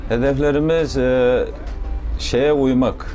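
A middle-aged man who owns a repair shop, answering interview questions plainly and haltingly, with many hesitation sounds, recorded on a lapel mic in his small indoor shop. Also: mid-range voice, steady tone, dark tone, soft background music. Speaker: shop owner being interviewed.

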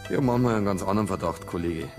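An actor playing an earnest, dry, matter-of-fact detective with a Bavarian accent in a naturalistic radio drama. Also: male voice, deep voice, rapid-fire, music in the background, close to an everyday voice. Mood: dry rebuke. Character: earnest male detective, patient but easily irritated.

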